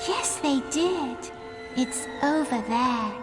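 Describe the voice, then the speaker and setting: A woman's voice: high-pitched, lively intonation, slow, music in the background. A woman voicing a whiny, self-pitying ghost of a teenage girl in a video game. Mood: aggrieved sarcasm.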